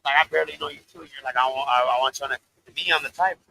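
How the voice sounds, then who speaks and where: Deep-voiced rapper with a gravelly, bright edge. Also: male voice, rapid-fire, low-quality recording. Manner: loose, laughing, swears freely. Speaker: rapper guest. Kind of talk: casual interview chat.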